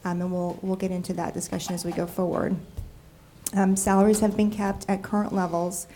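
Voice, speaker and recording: A woman's voice: on the low side, level tone, warm tone, a little hiss or hum. Stuffy-nosed woman presenting a budget. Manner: measured, with frequent ums. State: nasal and froggy from a cold. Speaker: city deputy budget director. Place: council chamber.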